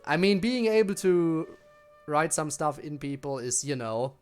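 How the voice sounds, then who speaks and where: A man with a fairly high, very animated voice chats casually as he streams a game. He rambles and muses aloud to viewers, with faint music playing behind him. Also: measured pace, clean tone. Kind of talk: casual live gameplay commentary.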